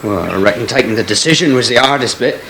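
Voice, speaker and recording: An actor playing a modest, good-natured pig farmer in a radio soap. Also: male voice, mid-range voice, fast talker, a bit bright, natural voice. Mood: reflective. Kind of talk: 1980s radio soap acting. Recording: archive radio broadcast, faint background noise.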